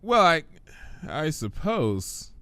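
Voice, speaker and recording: Man playing an exasperated girl pony, raised pitch. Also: big pitch swings, sharp tone, dry recording, full character voice. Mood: exasperated, doubtful. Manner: earnest, questioning, brisk. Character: sensible, bossy, exasperated young female cartoon pony.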